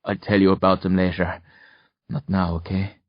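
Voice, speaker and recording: A man's voice: low voice, flat delivery, normal speed, no room sound, lightly acted. A troubled, exhausted man as a male audiobook narrator plays him, brooding and earnest. The recording is dry and slightly muffled. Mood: thoughtful, guarded. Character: weary, troubled man shaken after a nightmare.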